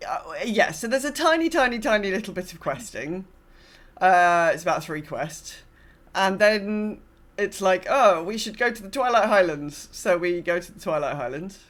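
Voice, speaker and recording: A woman's voice: mid-range voice, lively intonation, normal speed. A woman co-hosting a casual gaming podcast, speaking in a wry, understated and somewhat hesitant way. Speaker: podcast co-host.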